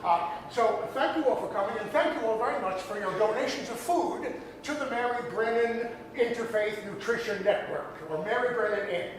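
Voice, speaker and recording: A man's voice: very high voice, normal speed, hardly any pauses. A man introducing a show as host in an informal welcome speech. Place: performance hall stage. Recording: very echoey hall, distant, faint noise.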